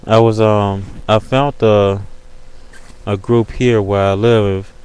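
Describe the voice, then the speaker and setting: A man with a low, smooth voice talks casually and in slang, as host of a webcam call. His delivery stays fairly flat and even, with frequent repeated tag phrases. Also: takes it slowly. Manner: slangy, repeats "you know what I'm saying". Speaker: host of an online video call. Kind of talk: casual chat.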